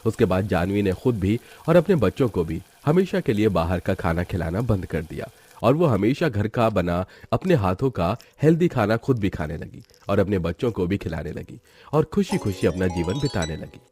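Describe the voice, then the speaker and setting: A man's voice: fairly low pitch, sing-song, normal speed, a little mellow, slightly rough. A man narrating a scripted moral story.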